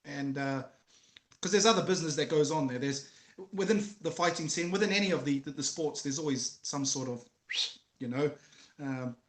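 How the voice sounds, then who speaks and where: A man in his thirties from New Zealand with a New Zealand accent, telling his story as a guest on a video call in a relaxed, joking way. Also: a little high, moderate pace. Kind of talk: casual interview. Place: small room at home, video call. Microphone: headset earphones, webcam. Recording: roomy video call audio.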